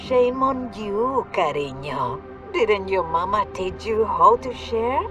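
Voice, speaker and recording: A woman's voice: medium pitch, animated, takes it slowly, music in the background. A woman playing a taunting, condescending mercenary woman in a video game, with a teasing manner. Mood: mocking. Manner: teasing, condescending, peppers speech with endearments. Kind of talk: video game cutscene acting.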